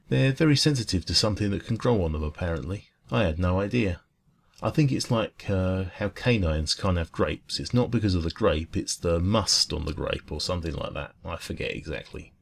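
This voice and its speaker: Man voicing a deep, gravelly, gentle dragon baker. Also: full character voice. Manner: gentle, rambling, hesitant, earnest enthusiasm about baking. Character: friendly, food-loving dragon café baker, kind and chatty. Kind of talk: ASMR roleplay, soft casual chat.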